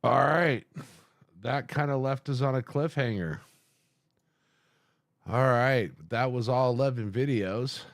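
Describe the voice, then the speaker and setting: A man's voice: mid-range voice, takes it slowly, pauses often, no room sound, studio quality. A man hosting a reaction video with casual commentary, thinking aloud and addressing his viewers informally and directly.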